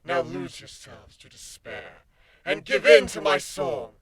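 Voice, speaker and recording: A man voicing an arrogant possessed pony villainess with a breathy, menacing way of proclaiming in a dramatic story reading. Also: measured pace, crowd noise, lightly acted. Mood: gloating, menacing.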